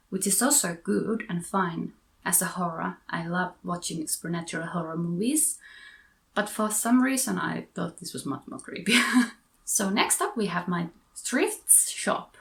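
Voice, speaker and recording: Finnish woman chatting about books, gravelly. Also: on the low side. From Finland. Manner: chatty, self-correcting, giggly asides. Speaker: book vlogger. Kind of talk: casual book haul chat.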